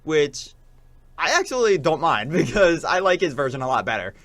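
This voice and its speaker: A man's voice: on the high side, big pitch swings, moderate pace, a bit bright, no room sound. A young adult man chatting casually as a podcast co-host, rambling along and leaning often on "like". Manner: rambling, peppered with "like". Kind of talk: casual chat.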